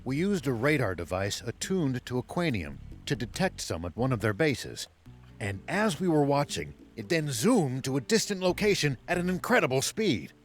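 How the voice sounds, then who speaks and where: A man playing a seasoned older military commander in a video game, serious, decisive and deliberate. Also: medium pitch, sing-song, soft background music, lightly acted. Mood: grave, deliberate. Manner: authoritative, deliberate. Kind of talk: video game cutscene acting.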